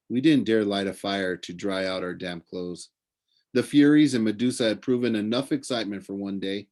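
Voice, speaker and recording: A man's voice: on the low side, a little mellow. A man narrating a storybook aloud for a classroom, sometimes stumbling and correcting himself. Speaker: reader of a classroom audiobook.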